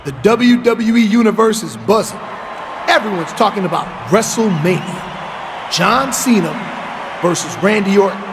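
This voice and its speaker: A man's voice: high-pitched, moderate pace, music in the background, close to an everyday voice. A man playing a cocky, brash wrestler in a video game, boastful and emphatic. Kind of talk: video game cutscene acting.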